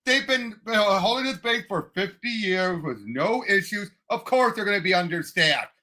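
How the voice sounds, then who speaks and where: A man co-hosting a casual movie discussion, speaking in a halting way and often repeating himself. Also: high-pitched, animated, sharp tone. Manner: halting, repetitive phrasing. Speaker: podcast co-host.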